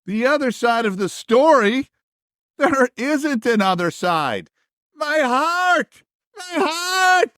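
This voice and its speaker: A man playing an anxious, theatrical history book puppet in a stage puppet musical, with melodramatic, drawn-out delivery. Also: very high-pitched, big pitch swings, fairly bright tone, exaggerated voice. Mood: indignant, then anguished, melodramatic. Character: dramatic, anxious old-fashioned history book puppet, male.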